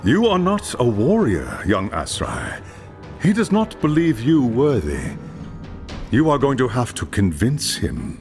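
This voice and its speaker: A man playing a wise, grave elven lore master in a video game, measured and portentous. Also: medium pitch, huge pitch swings, a little mellow, faint music behind. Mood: stern counsel. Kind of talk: dramatic video game acting.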